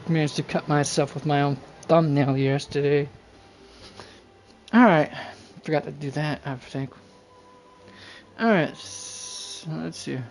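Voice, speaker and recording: A woman hosting a game livestream, chatting casually in a halting, rambling, folksy way. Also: deep voice, a little mellow, a little hiss or hum.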